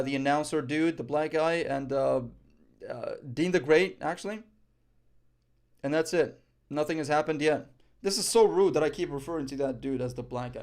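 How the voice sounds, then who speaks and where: A man's voice: fairly high pitch, a little mellow. A young man from Morocco, casually commenting on a boxing event on a live stream, rambling and joking with frequent swearing and fillers.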